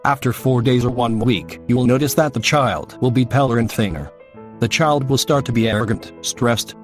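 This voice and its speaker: A man playing a solemn television news anchor, reading a scripted report in a measured, explanatory way. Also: medium pitch, close to an everyday voice.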